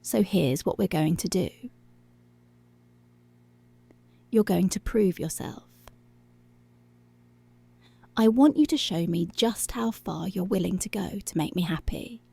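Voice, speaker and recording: Woman acting as a bossy teasing cop lady, asmr roleplay, soft breathy half whisper, dark close dry sound. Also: fairly low pitch, animated, moderate pace, no room sound, full character voice. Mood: commanding, teasing. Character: dominant, teasing, commanding adult policewoman.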